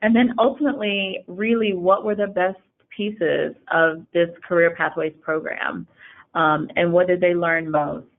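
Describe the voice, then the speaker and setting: A woman's voice: lowish voice, fairly even intonation, a little slow. A woman hosting a semi-scripted webinar, introducing and questioning guests as a programme director. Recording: thin phone-like line.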